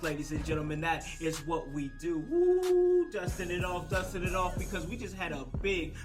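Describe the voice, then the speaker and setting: A man hosting a wrestling podcast, energetic and loud, with whoops and fast promos. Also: fairly high pitch. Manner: energetic, shouting woos. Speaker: podcast host. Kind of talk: hype podcast chat.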